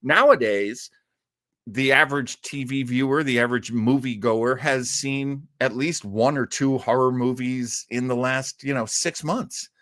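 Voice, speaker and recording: A man's voice: medium pitch, fairly even intonation, measured pace, fairly bright tone, no room sound, studio quality. A man from the United States chatting casually and enthusiastically as host of a live listening show, rambling into asides. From United States, outside Boston. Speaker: host of an old-time radio listening show.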